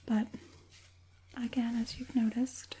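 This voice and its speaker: A woman voicing an evil witch puppet master in a whispered ASMR roleplay, coaxing and falsely caring, slow and deliberate in a breathy hush. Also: a little high, level tone, slight background noise. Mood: calm, controlling. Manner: slow, deliberate, soothingly menacing.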